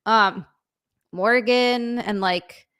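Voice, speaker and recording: A woman's voice: on the high side, extremely slow, fairly bright tone, smooth. A woman co-hosting a livestream, laid-back and teasing, sounding worn out. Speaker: co-host. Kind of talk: casual livestream chat.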